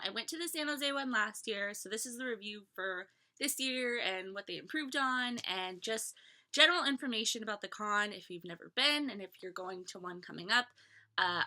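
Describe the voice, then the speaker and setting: Bright-voiced stuffy young woman vlogging. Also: mid-range voice. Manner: chatty, rambling with many fillers and restarts. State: stuffy, voice a little off from a cold. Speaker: vlogger reviewing a fan convention. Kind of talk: casual talk to camera. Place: small bedroom, indoors.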